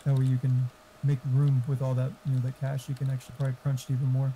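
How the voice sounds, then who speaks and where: Very mellow man, rambling in voice chat. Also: medium pitch, completely flat delivery, fast talker, a little hiss or hum, rough recording. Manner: rambling, casually profane. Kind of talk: casual gaming voice chat.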